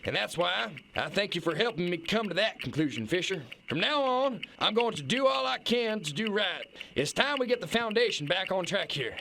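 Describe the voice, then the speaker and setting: High, creaky, stammering fallen kingpin played by a man. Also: lively intonation, bright, forceful tone, slight background noise, heavily acted. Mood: earnest, remorseful. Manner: halting, stammering, repeats words. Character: failing male kingpin, self-important, guilt-ridden and rambling. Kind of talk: absurdist audio drama acting.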